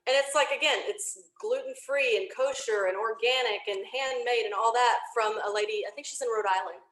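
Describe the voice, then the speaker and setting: A woman's voice: a little high, fairly quick, a bit bright, roomy sound, poor audio. A woman chatting informally as an interview guest, explaining her venture in detailed, rambling fashion. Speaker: podcast creator being interviewed. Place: indoor home office, remote video call.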